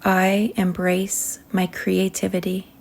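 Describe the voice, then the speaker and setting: A woman leading a guided meditation in a soothing manner, speaking in short phrases with long pauses that trail softly toward a whisper. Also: mid-range voice, monotone, creaky.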